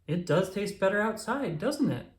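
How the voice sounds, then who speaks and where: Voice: mid-range voice, expressive, normal speed, pitched above the performer's own voice, close to an everyday voice. A narrator voicing a friendly, encouraging father tiger in a children's storybook.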